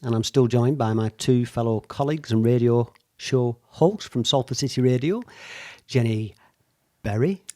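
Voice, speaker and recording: A man's voice: mid-range voice, moderate pace, mellow. A man from England hosting a casual video podcast chat, laughing often as he talks. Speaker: podcast host. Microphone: video podcast mic.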